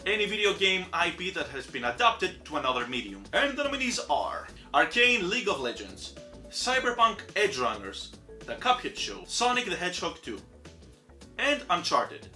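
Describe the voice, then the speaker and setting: A young man from Greece with a Greek accent, a native Greek speaker, chatting casually and self-deprecatingly about game award nominees as a channel host. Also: normal speed. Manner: chatty and self-deprecating, animated swings in pitch. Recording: roomy echo with faint music behind.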